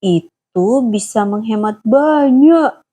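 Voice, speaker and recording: A woman voicing a gentle, patient father who explains things slowly to his young daughters in a children's story. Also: on the high side, lively intonation, slightly rough, heavily acted. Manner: slow, soft, explaining. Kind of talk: storybook dialogue.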